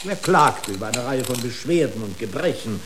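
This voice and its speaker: An actor playing an indignant, officious company lawyer pleading in court with pompous, long-winded legalese in a radio drama. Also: male voice, medium pitch, animated, normal speed, heavy background noise, lightly acted. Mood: officious, aggrieved. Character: indignant, officious corporate attorney arguing in court. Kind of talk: German radio drama acting.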